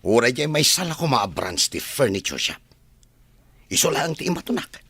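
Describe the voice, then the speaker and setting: A man's voice: medium pitch, big pitch swings, moderate pace, a bit bright, husky, no echo, exaggerated voice. A man with an Ilocano accent playing a resentful, demanding adopted son in a television drama, confrontational and insistent. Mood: bitter, demanding.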